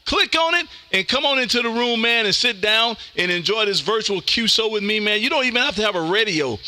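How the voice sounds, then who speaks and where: A high-pitched American man with a very bright, forceful voice chats casually as a ham radio host. His talk is folksy and full of radio jargon. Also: animated, brisk, no echo. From Texas, United States. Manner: folksy, says "man" often, radio call-sign jargon. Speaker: amateur radio livestream host.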